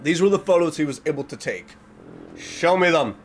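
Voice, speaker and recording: A man's voice: on the high side, expressive, moderate pace, a little hiss or hum. A man giving casual reaction commentary, reading aloud and reacting expressively, drawing out words for emphasis. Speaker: reaction video host.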